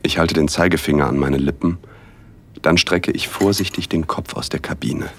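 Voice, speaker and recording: A man narrating a first-person erotic audio drama in a hushed, intimate, unhurried way, with a husky voice. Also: rumbling low, steady tone, slight background noise. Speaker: narrator and male lead.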